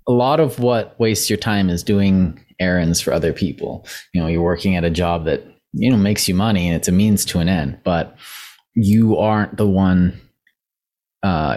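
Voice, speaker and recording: A young man with a notably deep, low-pitched voice talks through ideas on a casual podcast. He is hoarse from losing his voice and rambles, thinking aloud with frequent fillers and restarts. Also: darkish tone. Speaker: podcast co-host.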